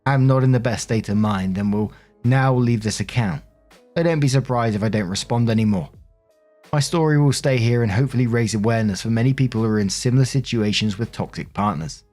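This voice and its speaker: Low-pitched man reading stories over music. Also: fairly quick, darkish tone. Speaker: narrator and host of a story-reading show. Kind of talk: reading online stories aloud with casual commentary.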